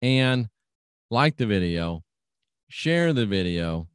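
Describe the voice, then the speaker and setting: A man's voice: fairly low pitch, sing-song, slow pace, smooth, no echo, clean studio sound. A man hosting his own radio show, chatting casually and reading out listener comments in a folksy, agreeable way. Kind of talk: casual talk reading out live chat comments.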